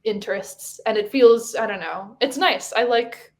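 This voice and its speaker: A woman from Hong Kong, a podcast guest, chatting casually with many pauses and restarts. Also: on the high side. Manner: hesitant, many fillers and restarts. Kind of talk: casual chat.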